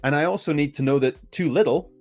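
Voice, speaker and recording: A man's voice: on the high side, quick pace, soft background music. A man whose first language is English, giving a conference presentation with energy and often restarting his phrases. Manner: energetic, self-correcting, frequent restarts. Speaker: conference speaker.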